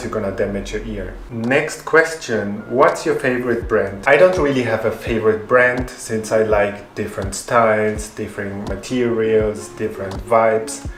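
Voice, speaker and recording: A man in his twenties, a native Spanish speaker raised in Germany, reading out fan questions and answering them calmly in a vlog. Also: fairly low pitch, roomy sound. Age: 20s. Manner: reads each question aloud, then answers in a calm, even way. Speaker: fashion YouTuber. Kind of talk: Q&A vlog narration.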